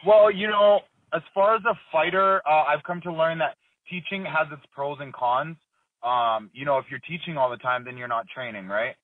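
A man in his thirties, a fighter being interviewed, talking in a relaxed, rambling way full of fillers and restarts. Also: a little high, moderate pace, dry recording. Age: 30s. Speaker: MMA fighter, interview guest. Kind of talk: casual phone interview. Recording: dry tinny phone line.

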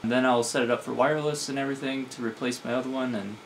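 A man's voice: medium pitch, steady tone, quick pace, a little hiss or hum. A man in his thirties giving a casual hands-on tech demonstration as a video host. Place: home lab room, indoors.

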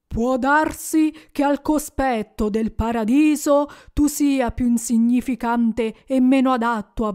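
A woman voicing a stern, solemn male ghost in an audiobook, speaking slowly and gravely. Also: fairly high pitch, darkish tone. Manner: slow, grave, reproachful. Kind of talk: audiobook reading.

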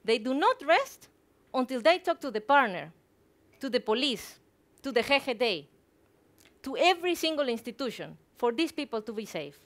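A woman's voice: sits high, big pitch swings, normal speed. A woman in her thirties from Guatemala, a native Spanish speaker with a Guatemalan accent, giving a prepared talk on stage into a headset mic. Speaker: conference speaker. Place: stage in a theatre hall.

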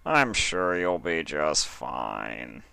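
Man doing a fussy, deadpan bureaucrat pony. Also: very deep voice, gravelly, clean tone, dry recording, voice pushed down, exaggerated voice. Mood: dry, polite. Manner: slow, deliberate, formal, deadpan.

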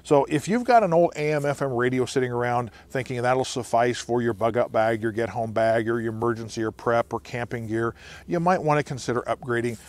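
A man's voice: mid-range voice, fairly quick. An American man in his sixties hosting an informal outdoor explainer, talking to camera into a lapel mic in the woods. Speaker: outdoor preparedness video host.

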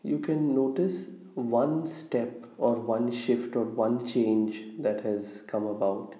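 A man with a very soft, dark voice leads a guided meditation. He speaks slowly and deliberately, leaving long pauses between phrases. The sound is roomy, with a noticeable echo. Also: medium pitch, fairly even intonation. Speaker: guided visualization leader. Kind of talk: guided meditation, slow instructional narration. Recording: roomy, echoing room sound.

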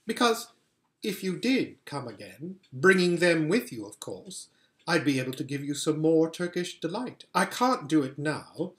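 A man's voice: a little high, very animated, measured pace. A man voicing a scheming, regal witch queen from a storybook, sweet-talking and imperious. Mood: coaxing.

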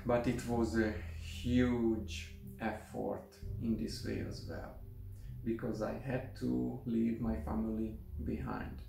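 A young man from Hungary with a Hungarian accent, talking to the camera in a casual, halting way at home. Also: lowish voice, little pitch movement, creaky, mellow, roomy sound, distant mic, faint music behind, rough recording. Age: young adult. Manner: halting, careful, with restarts. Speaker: vlogger. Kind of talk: casual vlog monologue. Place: room at home, indoors. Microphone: camera mic at a short distance.

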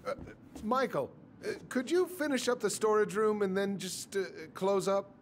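Flustered middle-aged store boss, lively pitch. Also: male voice, normal speed, a little hiss or hum. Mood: flustered, evasive. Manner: hesitant, fumbling. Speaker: shop boss. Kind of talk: video game voice acting.

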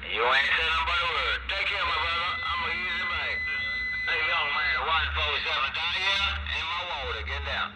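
A man's voice: a little high, normal speed. A man talking casually over a CB radio as an operator, in colloquial speech full of radio sign-offs and greetings. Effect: CB radio transmission. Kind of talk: casual radio call-outs and chat. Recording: noisy CB radio reception, heavy static.

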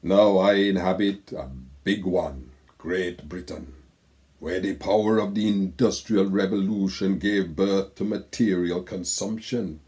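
An older man from Saint Kitts and Nevis reading his own poetic letter aloud in a slow, measured way, recorded on a webcam at home. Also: low voice, fairly even intonation, darkish tone. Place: home room with bookshelves.

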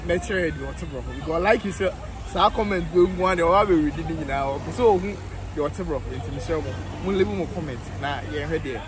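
A man's voice: on the high side, a little fast, poor audio. A man casually rambling to camera outdoors, asking viewers to comment and subscribe. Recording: outdoor crowd noise.

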